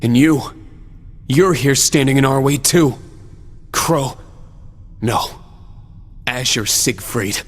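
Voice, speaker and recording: An actor playing an earnest, resolute young hero in a video game, serious and intense. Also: male voice, mid-range voice, slow, long pauses, slightly rough. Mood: grave. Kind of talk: English video game dub acting. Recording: echoey hall reverb.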